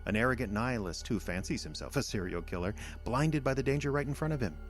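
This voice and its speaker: An actor in an audio drama playing a condescending alien invader in human guise, speaking in a measured, lecturing manner. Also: male voice, medium pitch, lively intonation, faint music behind, natural voice. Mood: smug.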